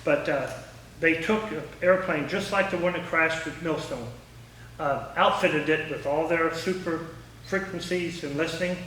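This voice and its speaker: Male speaker for an informal talk, folksy storyteller, forceful higher voice, distant in a very echoey room. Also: moderate pace. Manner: folksy, anecdotal. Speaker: guest speaker, former air traffic controller. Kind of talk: informal public talk with question and answer. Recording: echoey room, distant mic, faint noise.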